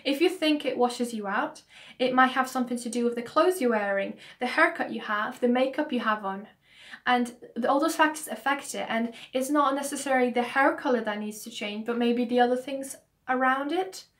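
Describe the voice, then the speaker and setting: Croaky young woman vlogging. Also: a little high, moderate pace, fairly bright tone. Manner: chatty, earnest, explaining her reasons. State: croaky voice from hay fever. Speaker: beauty vlogger. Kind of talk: casual talking-to-camera vlog.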